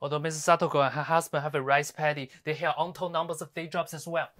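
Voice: medium pitch, level tone, quick pace, natural voice. An actor playing a sardonic, fiery lawyer in a theatrical monologue, scolding with scathing rhetorical questions. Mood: stirring appeal. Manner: scathing, rhetorical, swinging from hushed to ranting. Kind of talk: theatrical dramatic monologue performance.

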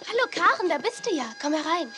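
A woman's voice: very high-pitched, big pitch swings, fast, bright, forceful tone, soft background music, full character voice. An actress playing a sweet, sensible young woman in a 1980s children's audio play, polite and reassuring.

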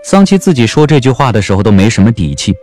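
A man narrating a historical romance audio drama as an expressive storyteller. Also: medium pitch, fast, faint music behind.

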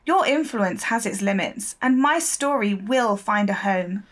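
An actress playing a persistent, shrewd newspaper reporter in an audio drama, speaking confidently and articulately. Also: female voice, mid-range voice, lively intonation, unhurried, close to an everyday voice. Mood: determined, pointed.

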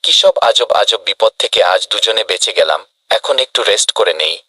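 A man voicing a helpful, brisk rabbit in a children's cartoon, on a noisy track. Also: lowish voice, expressive, pushed and bright, dry recording. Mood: earnest, relieved.

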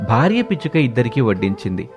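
A man tells a children's story in a medium-pitched voice. He reads scripted narration as the storyteller, with music running behind him. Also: very animated, fast.

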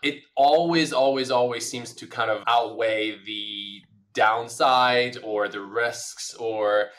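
Casting: middle-aged Italian-born man, native Italian, bright voice, talks in long winding answers. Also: mid-range voice, steady tone, slow pace. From Italy. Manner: thoughtful, discursive, many fillers. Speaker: podcast guest. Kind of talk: casual interview answers.

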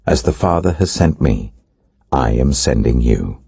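An older man with a British accent voicing a gentle, authoritative Jesus, calm, solemn and deliberate, in a scripture audiobook. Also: rumbling low, gravelly, breathy edge, no echo, pitched below the performer's own voice, exaggerated voice. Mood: calm authority.

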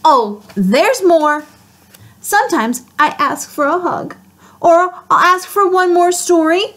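A woman's voice: very high-pitched, expressive, slow, a bit bright, exaggerated voice. A woman voicing a dramatic, whiny pigeon in a storybook, complaining and wailing. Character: whiny, dramatic pigeon who always asks.

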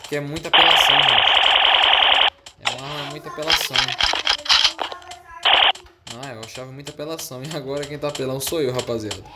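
A young adult man with a Brazilian Portuguese accent giving excited, slang-filled commentary while playing a mobile shooter. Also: on the high side, quick pace, long pauses, heavy background noise. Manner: excited, slangy, addresses viewers as a crowd of friends. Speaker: mobile gaming YouTuber. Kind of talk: casual gameplay commentary. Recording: loud game sound effects behind.

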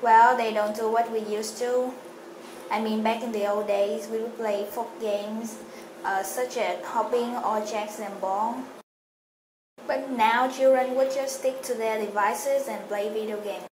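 An eighteen-year-old woman from Ho Chi Minh City speaking slowly and carefully in a scripted student interview. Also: on the high side, fairly even intonation, darkish tone, slight background noise. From Vietnam, Ho Chi Minh City. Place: indoors, plain wall. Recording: roomy echo with music under.

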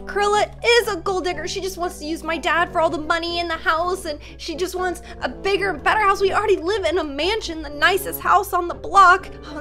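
A woman hosting a game roleplay, talking in a dramatic, whiny, animated way into a desk microphone. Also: high-pitched. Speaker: roleplay gamer host. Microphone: desk microphone with webcam. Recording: music playing behind.

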